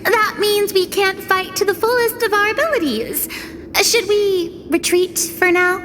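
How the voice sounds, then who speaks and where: A woman's voice: extremely high pitch, unhurried, a bit bright, a little hiss or hum. An actress voicing a cheerful, easily puzzled young female companion in a video game, musing aloud in a chirpy way. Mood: puzzled, curious.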